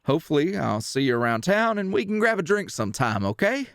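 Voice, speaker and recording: A man's voice: on the high side, big pitch swings, a little fast, gravelly, dry recording, clean studio sound. A man voicing a friendly, charming small-town handyman with an American Southern accent in an ASMR roleplay, folksy and chatty. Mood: friendly, cheerful.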